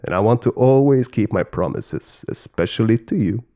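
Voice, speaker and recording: An emphatic father god of creation from a storybook, acted by a man, loving but easily exasperated. His mellow voice moves freely in pitch and creaks. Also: lively intonation, moderate pace. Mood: contrite.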